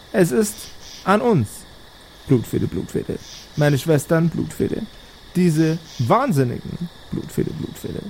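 A man voicing a small, spiteful talking skull that announces for witches in a tabletop game, haltingly, with a chanted refrain. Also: on the high side, darkish tone, slight background noise, poor audio. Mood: menacing, announcing.

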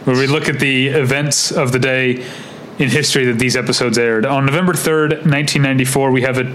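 A man co-hosting a podcast in casual, unscripted chat, speaking haltingly and correcting himself as he goes. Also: medium pitch, steady tone, a bit bright, slight background noise, rough recording. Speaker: podcast co-host.

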